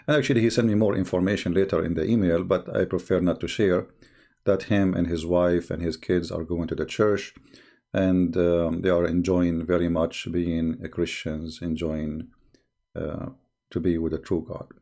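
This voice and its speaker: Male live-stream host: deep, gravelly, voice worn out, slow and halting delivery. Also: level tone, mellow. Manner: halting, reads viewer messages aloud slowly. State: tired, strained voice. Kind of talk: casual live-stream talk.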